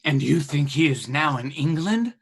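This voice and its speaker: Fawning sheriff, husky voice, nervous, stammering, greedy, radio play. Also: male voice, on the high side, expressive, normal speed, exaggerated voice. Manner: flustered, obsequious, stammering.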